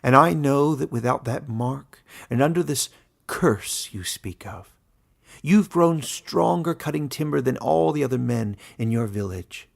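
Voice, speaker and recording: A wise old warden of the forest in a fantasy storybook, played by a man. His voice is gravelly and rough-edged, and he talks softly and with deliberate care. He comes across as gentle and knowing. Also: medium pitch, lively intonation, full character voice. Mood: earnest, firm. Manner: soft-spoken, measured, deliberate.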